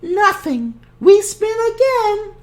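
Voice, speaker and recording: A woman voicing a kindly, doting old woman in a storybook, speaking in a high, sing-song way. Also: very animated, slow pace, heavily acted. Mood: cheerful. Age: older. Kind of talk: storybook character voice.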